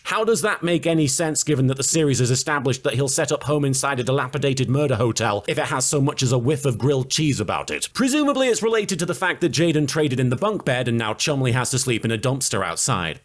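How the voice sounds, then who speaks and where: A man's voice: mid-range voice, expressive, fairly quick, hardly any pauses. A man giving a scripted comedic recap in a dry, sardonic way. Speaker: host of an anime recap and reaction series.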